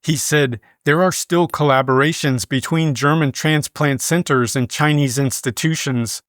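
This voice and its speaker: A man reading scripted news as a newsreader, in a measured, formal manner. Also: fairly high pitch, a bit bright, no echo, clean studio sound. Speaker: news reader.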